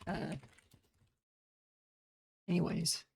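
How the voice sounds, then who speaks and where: An American live streamer in a casual, rambling monologue who swears often, trails off into filler sounds and answers chat messages. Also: drawn-out words. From United States.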